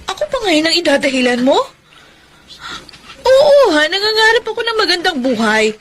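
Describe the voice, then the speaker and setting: A woman's voice: very high-pitched, big pitch swings, moderate pace, fairly bright tone, slight background noise, full character voice. An actress playing a hurt, proud young career woman and devoted daughter in a radio drama, with a Filipino accent. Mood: hurt, indignant.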